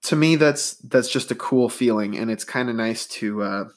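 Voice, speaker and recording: A man's voice: medium pitch, moderate pace. A man hosting a solo sports podcast, talking in a rambling, conversational way with a stuffy nose. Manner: conversational, rambling, data-minded. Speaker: podcast host.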